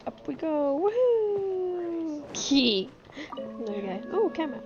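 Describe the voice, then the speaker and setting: A woman's voice: very high-pitched, expressive, takes it slowly, lo-fi sound. A woman live-streaming a game, giggly, dropping short offhand casual remarks over the story. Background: faint game music.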